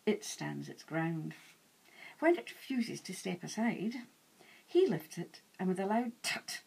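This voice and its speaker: A woman author reading her own novel aloud in an animated, dramatic first-person storytelling style. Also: fairly low pitch, creaky, husky, low-quality recording. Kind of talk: expressive audiobook-style first-person narration.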